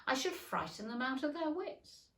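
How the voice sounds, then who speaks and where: A polite, curious little girl in a storybook, played by a woman, speaking earnestly and a touch primly. The voice is high and lilts with lively intonation in an echoey room. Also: normal speed. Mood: musing. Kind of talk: storybook character reading. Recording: roomy, echoing room.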